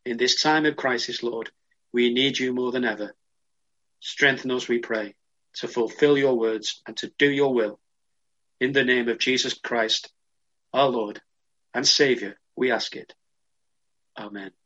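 A man's voice: mid-range voice, steady tone, measured pace, pauses often, fairly bright tone, muffled recording. Guy leading an online church service, praying and chatting plainly to the congregation. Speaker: worship leader. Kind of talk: prayer and informal address in an online church service.

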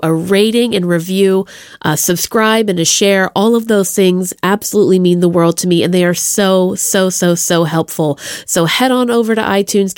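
A woman's voice: mid-range voice, no room sound, studio quality. A woman hosting a podcast in a chatty, effusive and playful way, addressing listeners directly. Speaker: podcast host. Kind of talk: chatty podcast introduction and plugs.

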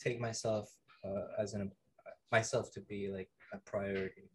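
A man's voice: lowish voice, moderate pace, slight background noise. A man in his twenties hosting a podcast, chatting casually, restarting and repeating words as he talks. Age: 20s. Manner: animated, stammers and repeats words. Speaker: podcast host. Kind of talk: casual chat.

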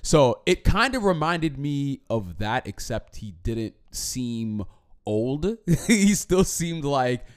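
A man co-hosting a podcast, chatting casually about a movie in a rambling way, with many restarts and a throat that is going hoarse. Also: mid-range voice, slow. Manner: rambling, repeats and restarts, animated. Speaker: podcast co-host. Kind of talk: casual movie review chat.

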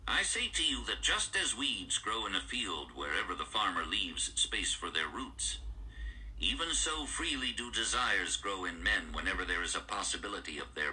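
Male narrator, low-pitched with very crisp forceful edge, expressive intonation, formal oratorical audiobook read. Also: low-quality recording. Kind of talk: formal scripted narration of an archaic-styled book.

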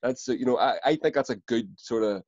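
A man's voice: medium pitch, fast talker, darkish tone, no echo, muffled recording. A man with a Northern Irish accent in casual chat, blunt and sweary, stumbling over repeated words as he gets going. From Northern Ireland.

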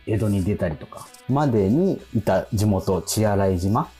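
A man, hosting radio with casual, animated storytelling. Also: fairly low pitch, measured pace, slightly rough. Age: 40s. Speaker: radio show host. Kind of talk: casual radio chat with anecdotes. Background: music.

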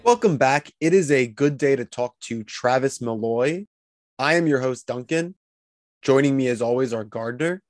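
A man's voice: medium pitch, normal speed, no room sound, clean studio sound. A man hosting a podcast, introducing guests and interviewing them. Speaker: podcast host.

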